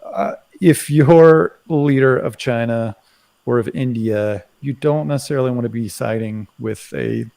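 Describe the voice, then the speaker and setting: A man in a casual current affairs discussion, speaking haltingly and often tagging his phrases with "right". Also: medium pitch, gravelly, warm tone. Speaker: commentator on a live talk show.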